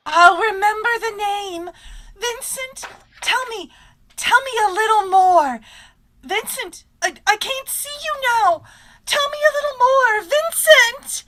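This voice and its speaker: A woman's voice: extremely high pitch, expressive, slow pace, pushed and bright, a little hiss or hum, heavily acted. A woman playing a gullible, yearning widow in old-time radio style, pleading and overwrought. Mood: pleading, flustered.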